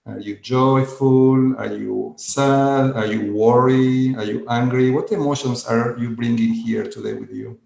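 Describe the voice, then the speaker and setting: A man, a coach leading a slow, gentle centering exercise over a video call. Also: medium pitch, steady tone, creaky. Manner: slow, gentle, inviting instructions. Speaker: coach and conference presenter. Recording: roomy video call audio.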